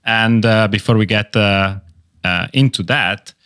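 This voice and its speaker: A man's voice: fairly low pitch, measured pace, a bit bright, clean tone, dry recording, studio quality. A man hosting a podcast in casual chat, talking with enthusiasm and rambling through many fillers. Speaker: podcast host.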